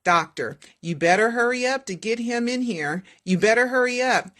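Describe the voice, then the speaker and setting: A woman reading the lines of a worried male doctor who speaks in a hushed, urgent way. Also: on the high side, lively intonation, normal speed, voice pushed up.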